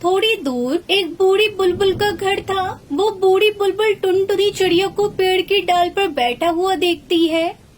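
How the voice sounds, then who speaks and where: A woman's voice: extremely high pitch, measured pace. A woman narrating a scripted children's story.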